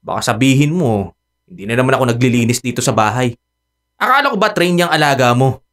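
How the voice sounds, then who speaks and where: A man's voice: mid-range voice, very animated, brisk, a bit bright. A man acting in a radio drama as an irritable boyfriend, grumbling in exasperation. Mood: grumbling, exasperated. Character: irritable live-in boyfriend who resents the dog.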